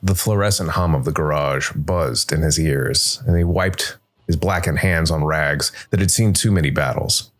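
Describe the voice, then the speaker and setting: A man's voice: extremely low pitch, moderate pace, soft background music. A man hosting a podcast and reading scripted story narration.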